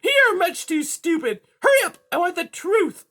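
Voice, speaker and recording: A woman's voice: very high voice, sing-song, normal speed, fairly bright tone, voice pushed up, exaggerated voice. A woman voicing a sneering, bullying female cat villain in a children's story, with a menacing, snarling manner. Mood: snarling, suspicious.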